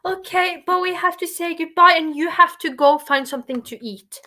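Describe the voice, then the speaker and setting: Young Norwegian woman, livestream host, high-pitched, upbeat and encouraging. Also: pushed and bright. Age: young adult. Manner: bubbly and encouraging, quick to laugh. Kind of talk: casual livestream interview.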